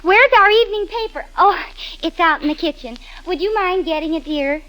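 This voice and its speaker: An actress playing a sweet, patient young housewife in a 1930s radio comedy, chirpy and coaxing. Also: female voice, extremely high pitch, expressive, moderate pace, fairly bright tone. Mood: coaxing, polite. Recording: faintly noisy 1930s radio recording.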